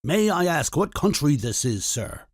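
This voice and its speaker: A husky, deep old sailor, put on by a woman for a storybook. He speaks politely and plainly, with plenty of movement in his pitch. The voice is rough-edged and unpretentious. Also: very animated, measured pace, a little mellow, no echo, studio quality, full character voice. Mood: polite inquiry. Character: Cap'n Bill, polite, plain-spoken old sailor man.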